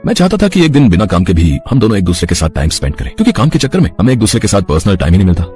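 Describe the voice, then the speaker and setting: A man's voice: lowish voice, sing-song, rapid-fire, a little mellow. A man playing young office men and suitors in a romance story, earnest and dramatic, mixing English phrases into his Hindi. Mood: romantic. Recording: music behind the voice.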